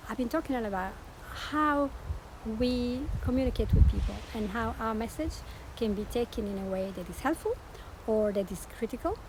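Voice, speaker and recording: A woman's voice: fairly high pitch, noisy background. A woman with an Italian accent, talking earnestly as a podcast host and life coach, promoting her show. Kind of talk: promotional monologue.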